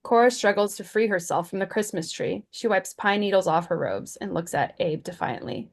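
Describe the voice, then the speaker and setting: Female narrator, medium pitch, reading scene headings and action lines from a screenplay. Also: normal speed, vocal fry. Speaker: stage-direction reader.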